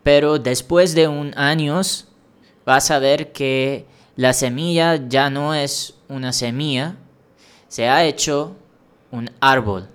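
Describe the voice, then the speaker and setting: A man from India hosting a language-learning podcast, giving advice in a measured, encouraging solo talk with a few small restarts. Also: medium pitch, clean tone.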